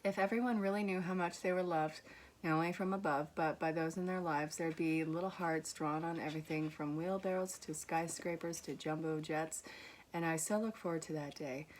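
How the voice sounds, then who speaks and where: A woman's voice: sits low, steady tone, normal speed, a little hiss or hum, rough recording. A young woman with a blended Vermont and New York accent, giving a casual, reassuring tarot reading and reading card messages aloud to her viewers. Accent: mixed Vermont and New York. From Vermont, USA. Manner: warm, reassuring, gently teasing, reads card texts aloud. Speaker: tarot reader.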